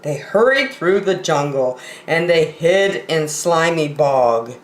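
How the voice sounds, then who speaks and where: Very slow, animated woman reading stories. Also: low voice, roomy sound. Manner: measured, dramatic pauses between phrases. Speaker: children's story time reader. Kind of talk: expressive picture-book reading.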